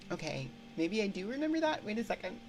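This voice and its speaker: A woman hosting a casual livestream chat, thinking aloud in a hesitant, halting way into a close microphone. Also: medium pitch, big pitch swings, a little mellow, soft background music. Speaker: livestream host. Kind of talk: casual livestream chat, answering viewer comments. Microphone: close binaural microphone.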